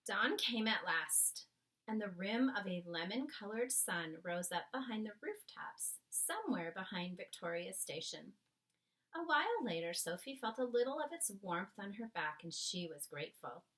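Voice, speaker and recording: A woman reading a storybook aloud as narrator, with dramatic, measured phrasing. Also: medium pitch, sing-song, fairly bright tone, low-quality recording.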